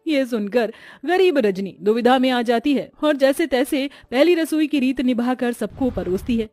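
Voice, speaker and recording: A woman's voice: high voice, expressive, normal speed, darkish tone, soft background music. A woman storyteller reading scripted narration for a cartoon tale.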